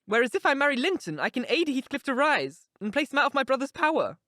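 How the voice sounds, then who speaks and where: A woman's voice: sits high, very animated, fast, fairly bright tone, no room sound, studio quality, pitched above the performer's own voice. A woman voicing an impetuous, headstrong young woman who speaks with intense, emphatic passion in an audiobook reading. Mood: vehement.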